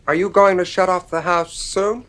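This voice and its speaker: A sullen young son in an old radio drama. His voice sits fairly high, and he talks back curtly, with cool insolence and stubborn argument. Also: fairly even intonation, normal speed, a bit bright. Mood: sullen, quietly menacing. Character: defiant, coolly insolent young son, a boy. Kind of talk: 1950s radio drama acting.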